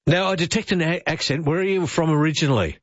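Aussie radio guy, chatty and jokey, kinda raspy, dull muffled audio. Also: fairly high pitch, fast talker, dry recording. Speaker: radio host. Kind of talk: casual radio interview. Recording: lo-fi broadcast audio with faint music.

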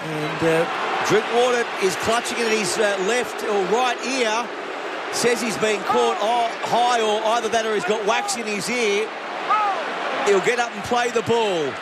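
A man with a slightly raspy voice, calling a live rugby league match with shouted, jokey commentary. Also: high voice, a little slow. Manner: excitable, jokey, shouting over the crowd. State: raspy throat. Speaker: play-by-play commentator. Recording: loud stadium crowd and noise behind. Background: stadium crowd.

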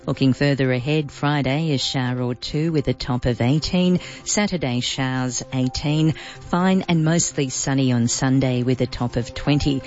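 A woman reading the weather report as a radio newsreader, brisk and even. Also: rumbling low. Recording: dull broadcast audio with faint music.

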